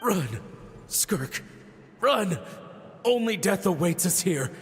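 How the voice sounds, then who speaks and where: A man's voice: high voice, very animated, slow pace, a bit bright, slight background noise, exaggerated voice. An actor voicing a desperate man urging a child to run. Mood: urgent. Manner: urgent. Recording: reverberant game audio.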